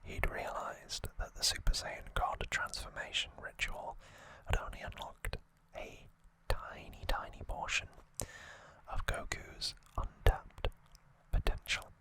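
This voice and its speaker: An ASMR host whispering a recap of trivia in a breathy, unvoiced voice, with halting, informal asides. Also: sharp tone.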